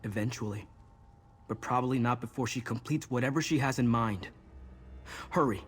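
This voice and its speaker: An actor playing an earnest, resolute young mage in a video game, explaining things intently. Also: male voice, medium pitch, normal speed, slight background noise, natural voice. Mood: urgent, resolute. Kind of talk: video game character dialogue.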